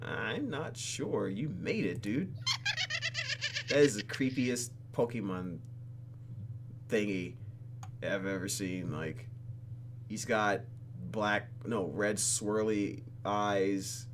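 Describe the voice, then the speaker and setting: A man's voice: lowish voice, takes it slowly, pauses often, noisy background. A man doing playful, exclamatory gameplay commentary as he reads a visual novel aloud, with faint music behind him. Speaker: let's play host.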